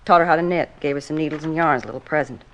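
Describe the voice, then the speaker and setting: An actress playing a blunt, defensive, gossipy apartment manager with an American accent in 1950s radio drama style. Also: female voice, low-pitched, very fast, close to an everyday voice, rough recording. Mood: confiding. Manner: blunt, gossipy, folksy clipped grammar. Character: brusque, defensive, talkative apartment manager woman with children. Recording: vintage 1950s radio recording.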